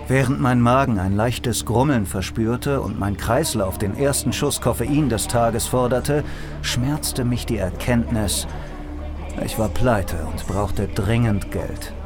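An actor playing a world-weary private detective, narrating and speaking in a noir audio drama in a dry, sardonic way. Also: male voice, fairly low pitch, natural voice. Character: wry, world-weary male private detective in futuristic city. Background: music.

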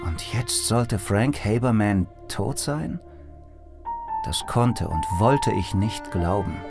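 Low-voiced male actor as weary noir detective, dry sardonic inner narration for an audio drama, music underneath. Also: expressive, slow. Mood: brooding, sardonic. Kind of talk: scripted first-person audio drama narration. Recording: music behind the voice.

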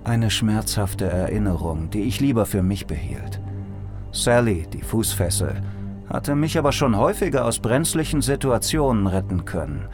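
An actor playing a world-weary private detective, narrating and speaking in a noir audio drama in a dry, sardonic way. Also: male voice, lowish voice, lively intonation, moderate pace, close to an everyday voice. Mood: wry, brooding. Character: wry, world-weary male private detective in futuristic city. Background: music.

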